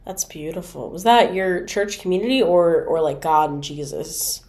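A woman's voice: on the low side, moderate pace, a little mellow. A woman in her twenties, a student hosting a campus podcast, asking questions in an upbeat and encouraging way. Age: 20s. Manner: upbeat, encouraging, many affirming interjections. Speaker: podcast host. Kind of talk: interviewing.

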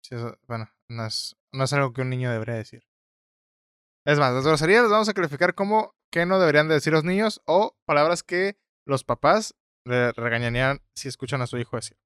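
A man's voice: fairly high pitch, fast talker, clear, resonant tone, no room sound, studio quality. A young man with a Mexican accent, co-hosting and editing a casual, joking podcast chat. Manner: relaxed, joking, slangy. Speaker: podcast co-host and editor.

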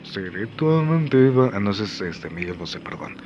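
A man's voice: on the low side, very animated, moderate pace. A man joking casually over a cellphone, with a muffled and noisy sound.

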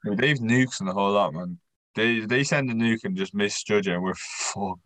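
A man's voice: fairly low pitch, moderate pace, a bit bright, dry recording. A man co-hosting a podcast, teasing his friends and swearing casually. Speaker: podcast co-host. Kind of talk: casual banter.

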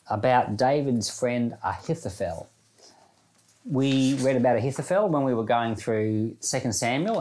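Man, medium pitch, Bible podcast host, scripture reading, informal devotional commentary.

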